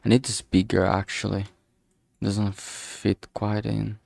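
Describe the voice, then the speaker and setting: The man you'd hear on a late art livestream, close and dry on the mic, murmuring his steps in a lowish voice as he draws. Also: flat delivery, vocal fry, darkish tone, dry recording. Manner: halting, self-talking, mutters to himself. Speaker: streamer making visual novel art. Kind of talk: casual thinking aloud while drawing on stream.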